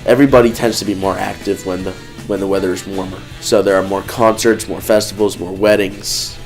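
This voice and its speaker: Low-voiced young photographer from Los Angeles. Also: male voice, steady tone, a little fast, soft background music, rough recording. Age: young adult. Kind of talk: documentary interview. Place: dark studio indoors.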